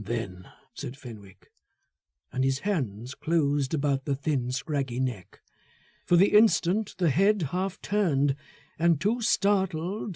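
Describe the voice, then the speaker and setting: Male literary narrator: dark, relaxed timbre, measured dramatic delivery, close dry sound. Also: a little high, no echo. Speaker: audiobook narrator. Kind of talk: literary scripted story narration.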